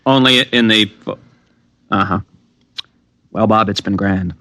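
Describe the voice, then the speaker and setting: A man playing a breezy, nosy young college pal in an old-time radio drama, raspy and slangy. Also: on the low side, pushed and bright, no echo. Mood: wary. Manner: casual, slangy, drawn-out hesitations.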